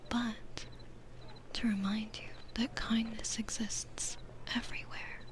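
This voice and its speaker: A woman playing a gentle, patient, kindly demon florist in an ASMR roleplay, in a soft breathy whisper with a soothing, nurturing manner. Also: a little high, level tone. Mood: tender, encouraging. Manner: slow, soothing, nurturing, unhurried.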